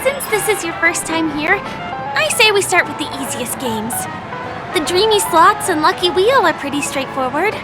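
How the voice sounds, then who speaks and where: High bubbly young woman game guide. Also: very animated, normal speed, poor audio. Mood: cheerful. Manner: bubbly, chatty, eager. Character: cheerful young woman tour guide showing a friend around. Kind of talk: video game character voice acting. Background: game music.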